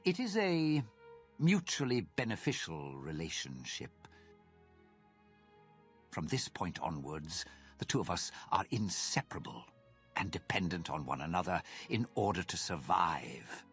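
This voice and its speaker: Deep, sinister, courtly armour spirit. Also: male voice, very animated, a bit bright, faint music behind, full character voice. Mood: smooth, persuasive. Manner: theatrical, formal, suave, drawn-out menacing phrasing. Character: sinister, courtly male spirit living inside cursed armour. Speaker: video game character in a fantasy mod. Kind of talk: theatrical fantasy game voice acting.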